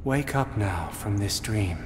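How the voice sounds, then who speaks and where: An actor playing a solemn, ghostly holy witness in a dark fantasy game, speaking in measured, archaic phrases. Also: male voice, lowish voice, lively intonation. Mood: solemn, commanding. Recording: long echoing reverb over music.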